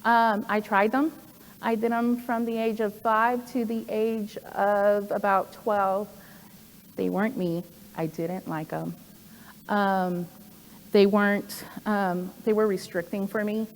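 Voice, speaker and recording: A woman in her forties with a mid-range voice tells her story to an audience in a conversational way. She often pauses on "um" and drifts into asides. Her voice rings out through the long reverb of a large hall. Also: medium pitch, fairly even intonation, slight background noise. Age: 40s. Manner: conversational, with frequent "um" fillers and asides. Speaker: program director giving a talk. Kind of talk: personal presentation to an audience.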